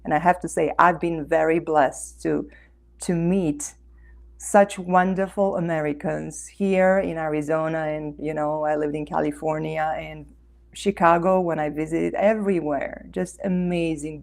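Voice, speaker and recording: Romanian-accented woman with a gravelly voice. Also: deep voice, steady tone, unhurried, darkish tone. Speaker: author and life coach guest. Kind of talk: interview conversation.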